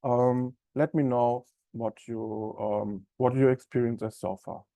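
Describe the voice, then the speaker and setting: A man with a German accent hosts a live software webinar, talking in a friendly, conversational way and filling his pauses with ums and uhs. His voice is dark and mellow at a middle pitch. The sound is close and dry, like a desk mic on a video call. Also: steady tone, vocal fry, no echo. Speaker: software implementation specialist presenting a webinar. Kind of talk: live product webinar presentation.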